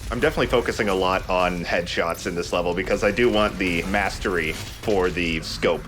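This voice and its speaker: A man's voice: low voice, a little fast, fairly bright tone, slight background noise. A man giving casual, conversational video game commentary as a let's play host, explaining as he goes. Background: game music.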